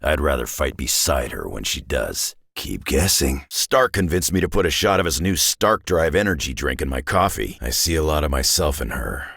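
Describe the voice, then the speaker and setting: A battle-worn old brawler for a video game. The voice is extremely low and full of gravel, husky at the edges. The delivery is terse, wry and cynical, like a folksy tough guy who has seen it all. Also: very animated, moderate pace, few pauses, a bit bright, no room sound, clean studio sound, exaggerated voice. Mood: wry, gruff. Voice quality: gravelly, growling rasp. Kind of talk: video game character voice lines.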